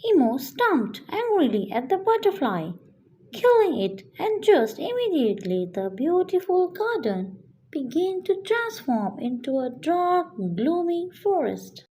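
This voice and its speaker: A woman's voice: extremely high pitch, big pitch swings, a little slow, dark tone, slight background noise. A woman narrating a scripted children's bedtime story as a storyteller.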